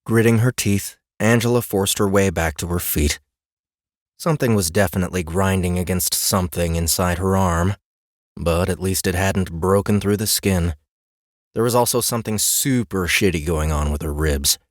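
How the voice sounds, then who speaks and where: Low-voiced male audiobook narrator, dry sound. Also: moderate pace, dry recording. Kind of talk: scripted fantasy story narration.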